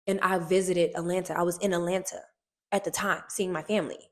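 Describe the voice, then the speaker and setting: A woman, an actress, sharing a personal testimony to the camera in a casual, animated, emphatic way, recorded on a film set. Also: on the low side, brisk. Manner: animated, emphatic storytelling, often repeats phrases.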